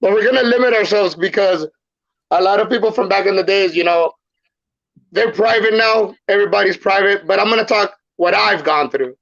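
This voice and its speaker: Gravelly high-voiced man, lo-fi sound. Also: a little fast, fairly bright tone. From El Salvador. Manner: street-slang, repeats phrases. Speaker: podcast guest. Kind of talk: casual storytelling. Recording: muffled lo-fi sound.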